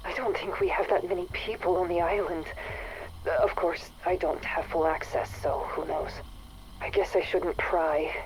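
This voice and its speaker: An anxious researcher on a secretive island, confiding her worries in a low voice that often drops into a whisper. It is a recorded audio log from a video game. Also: darkish tone, slight background noise, rough recording. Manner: uneasy, confiding, half-whispered.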